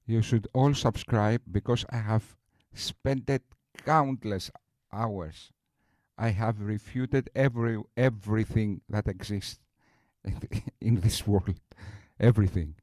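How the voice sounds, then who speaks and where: A man's voice: fairly low pitch, slow pace, darkish tone, no echo. Boastful rambling man on a stream. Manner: boastful, rambling with many restarts. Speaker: streamer host. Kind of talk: casual live-stream talk.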